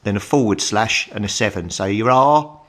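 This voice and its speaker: A man's voice: fairly low pitch, normal speed. A middle-aged British man giving a casual, plain-spoken tutorial as a hobbyist presenter. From United Kingdom.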